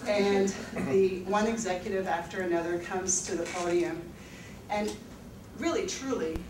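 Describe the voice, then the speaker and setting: A woman's voice: lowish voice, measured pace. Candid middle-aged woman in an echoey room. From Netherlands. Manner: candid, laughs often, many fillers. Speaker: financial advisor, speaker. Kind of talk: personal story talk to an audience. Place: university event room. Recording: echoey room, mic at a distance, background noise.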